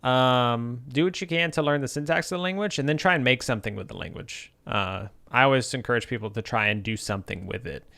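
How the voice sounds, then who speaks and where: A man streaming his game development, chatting casually and thinking out loud with many fillers while encouraging viewers. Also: medium pitch, a little fast, vocal fry, hardly any pauses. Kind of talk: casual livestream chat while coding.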